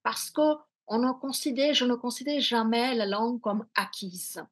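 A middle-aged woman from India, Bengali-native, talks over a video call from a small room at home. Her voice sits a little high and has a husky grain. She reflects as she speaks, full of fillers and restarts. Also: moderate pace. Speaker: author. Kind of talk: interview answers.

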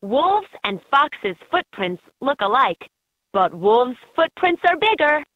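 Lively, unhurried woman narrating a kids' game. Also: high voice, no echo. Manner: clear, expressive, instructive delivery for children. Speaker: narrator of a children's learning game. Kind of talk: scripted educational game narration. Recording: slightly dull, lo-fi game audio.

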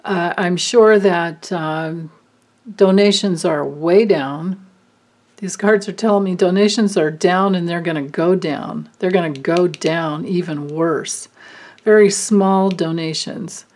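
A woman doing a card reading, thinking aloud with many pauses and fillers. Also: on the low side, a little mellow. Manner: slow, musing, thinking aloud. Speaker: card reader. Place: indoors at a table, hands and cards filmed from above.

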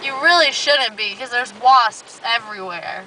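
A woman's voice: on the high side, moderate pace. A young woman driving through a car wash, jittery and complaining, cursing as she talks. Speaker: driver. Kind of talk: casual chat. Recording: distant voice over loud car wash noise. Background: loud car wash machinery.

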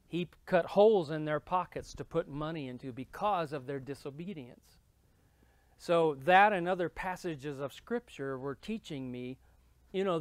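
Man, fifties, fairly high pitch, conversational, earnest explaining, dry lapel-mic recording. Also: dry recording. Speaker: minister and church leadership speaker. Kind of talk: interview-style storytelling and explaining. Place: indoor studio with dark backdrop.

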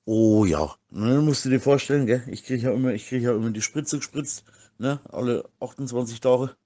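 A man's voice: mid-range voice, moderate pace, mellow. A middle-aged man from Germany talking casually as in a private voice message, rambling in slang and repeating himself.

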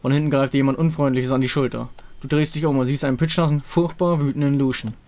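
A man reading scripted story narration for a fantasy game in a measured, dramatic way. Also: medium pitch, fairly even intonation, darkish tone.

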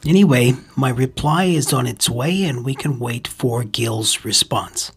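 A man with a very husky, breathy voice narrates an instructional hobby video. He explains things in a measured, methodical way as the host, with music playing behind his voice-over. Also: medium pitch. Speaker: ham radio video host.

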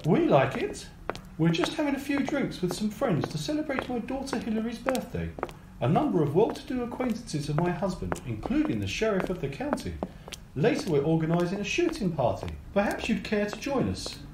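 A woman's voice: mid-range voice, very animated, fairly quick, natural voice. Woman playing a swooping, gracious society hostess. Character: gracious well-to-do professor's wife, poised hostess. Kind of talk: radio play acting. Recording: roomy, clearly noisy homemade recording.

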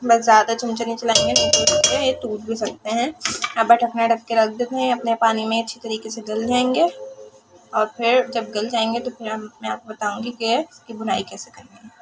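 Woman cooking host, even and homely delivery, phone-recorded in her kitchen with background hum. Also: fairly high pitch, normal speed. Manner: homely, repeats "ठीक है" and "ये देखें", explains patiently. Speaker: home cooking channel host. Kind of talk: step-by-step recipe narration. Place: home kitchen.

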